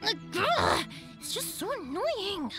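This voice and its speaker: Cheery young game heroine, high bouncy voice, playful, faint music under it. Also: female voice, huge pitch swings, slow pace, heavily acted. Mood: playful, animated. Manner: breezy, playful, encouraging. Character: cheerful, kind-hearted young woman firework maker. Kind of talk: video game character acting.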